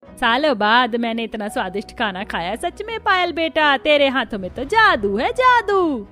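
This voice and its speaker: Woman voicing a grumbling, demanding older mother-in-law, high-pitched. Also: very animated, moderate pace, sharp tone, heavily acted. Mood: eager, pleased. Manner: complaining, bossy. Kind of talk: Hindi cartoon story dialogue. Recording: music behind the voice.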